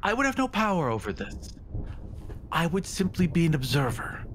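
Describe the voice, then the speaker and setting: A man playing a proud, scheming mortal petitioner in a tabletop fantasy game, with a theatrical, halting delivery. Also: fairly high pitch, sing-song, fairly bright tone, slight background noise. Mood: earnest persuading. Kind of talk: tabletop roleplay acting.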